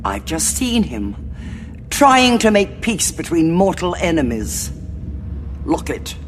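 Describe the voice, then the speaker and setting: Ruthless cardinal, slow and imperious, hall reverb. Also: female voice, lowish voice, big pitch swings, faint music behind. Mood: cold. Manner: cold and commanding. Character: ruthless, manipulative woman cardinal.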